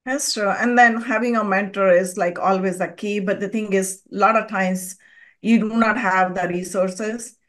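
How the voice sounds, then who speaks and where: A woman's voice: medium pitch, fairly even intonation, normal speed. Podcast host woman asking long questions. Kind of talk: interviewing a guest.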